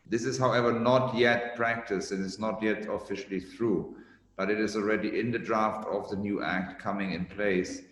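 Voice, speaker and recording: A man on a webinar panel, explaining a legal matter in measured, formal wording. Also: on the low side, fairly even intonation, fairly bright tone. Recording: echoey room with long reverb.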